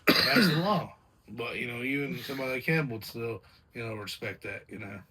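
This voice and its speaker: Bright-voiced young man chiming in. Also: mid-range voice, expressive, fairly quick, breathy edge, low-quality recording. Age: 20s. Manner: chimes in with short agreements, loose and repetitive. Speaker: vlog co-host. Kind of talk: casual chat.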